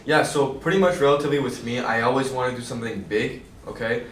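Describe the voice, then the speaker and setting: Brisk young man in an echoey room. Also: medium pitch, slight background noise. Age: young adult. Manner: explains with tag questions like "okay?" and "right?". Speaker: realtor. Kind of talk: casual group chat. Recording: echoey room, mic at a distance.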